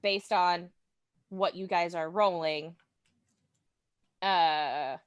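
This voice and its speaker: A woman's voice: on the low side, steady tone, extremely slow, clean tone, no room sound. Casual woman chatting, close dry sound. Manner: informal, imitates sound effects and reactions. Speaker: podcast guest, listener of the show. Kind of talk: casual podcast chat about tabletop gaming.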